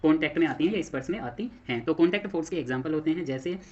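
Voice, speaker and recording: Male Indian lecturer, higher-than-average voice, explanatory and repetitive, dull lo-fi audio. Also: expressive, racing, a little mellow. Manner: explanatory, repeats phrases and asks himself questions. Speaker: physics teacher for exam coaching. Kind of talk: classroom lecture mixing Hindi and English terms.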